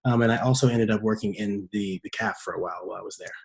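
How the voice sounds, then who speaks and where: Relaxed lower-voiced man. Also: quick pace, gravelly. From Seattle. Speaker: guest, startup operations manager. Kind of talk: podcast interview.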